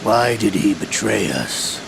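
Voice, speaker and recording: An actor playing a sly, proud, aging gunslinger henchman in a video game, with a gravelly voice and a theatrical, deliberate delivery. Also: fairly low pitch, very animated, noisy background, exaggerated voice. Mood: grave, businesslike. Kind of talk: video game cutscene acting.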